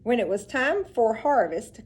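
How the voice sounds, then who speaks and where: A woman reading a storybook aloud as a teacher to children, expressive and instructive, with chatty asides. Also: a little high, a little slow, breathy edge.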